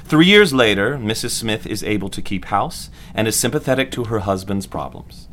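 Actor as pompous, swooping 1940s doctor-lecturer. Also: male voice, fairly low pitch, lively intonation, moderate pace, fairly bright tone. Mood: smugly didactic. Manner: formal, theatrical, patronizing. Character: pompous male doctor lecturing medical students, clinically smug. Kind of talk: 1940s lecture acting in an audio drama.

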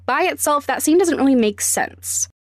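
A woman hosting a podcast, giving scripted instructional narration with deliberate, emphatic step-by-step phrasing. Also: high voice, lively intonation, no room sound, studio quality. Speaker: podcast host, sound designer.

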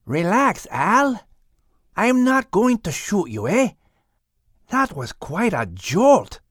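A woman voicing a mellow, unflappable male skunk in an audiobook. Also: medium pitch, sing-song, slow, husky, no echo, clean studio sound, voice pushed up, exaggerated voice. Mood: soothing.

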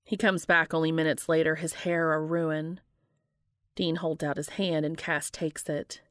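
A woman's voice: sits low, flat delivery, measured pace, fairly bright tone, dry recording, clean studio sound. A woman reading a story aloud in audiobook-style narration, as the reader of a fiction podcast.

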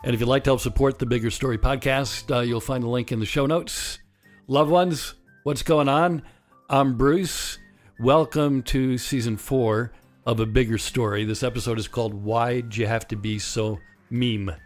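A man in his sixties with a raspy voice from a partly paralyzed vocal cord, talking candidly and wryly as host of a solo podcast. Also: mid-range voice, steady tone, normal speed, soft background music. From United States. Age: 60s. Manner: candid, wry and conversational, with chuckles. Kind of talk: reflective solo podcast monologue.

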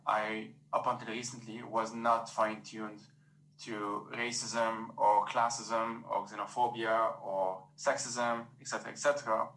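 A man's voice: lowish voice, pushed and bright, slight background noise, low-quality recording. A man from Lebanon hosting an interview, asking rambling, hesitant questions. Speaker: podcast host. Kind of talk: casual interview talk.

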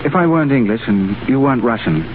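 A man's voice: mid-range voice, animated, fast talker, background noise, natural voice. An actor playing a restless, romantic English diplomat in 1930s radio drama, suave and wry in his banter. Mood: ardent. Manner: suave, bantering, questioning tags. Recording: tinny 1930s radio broadcast with music.

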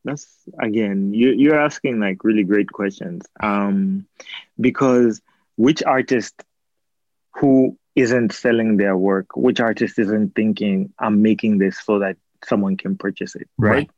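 A man's voice: on the low side, unhurried, darkish tone, no echo, highs cut off. A young man from Zambia, an artist and PhD student, giving thoughtful interview answers with many fillers and restarts.

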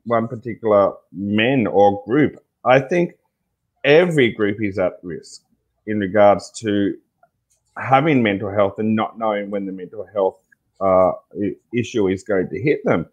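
A man's voice: fairly low pitch, unhurried, dull audio. A man with an Australian accent speaking earnestly as an interview guest, repeating key phrases for emphasis. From Tasmania, Australia. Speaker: interview guest, mental health advocate.

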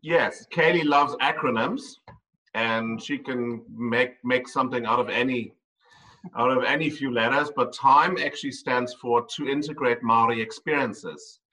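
Brief: male tour operator, bright edgy tone, chatty and meandering, sitting back from the mic. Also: mid-range voice, a little slow, far from the mic, rough recording. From Ireland and Germany. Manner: rambling, with frequent ums. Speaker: tour company owner. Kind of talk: conversational interview answers.

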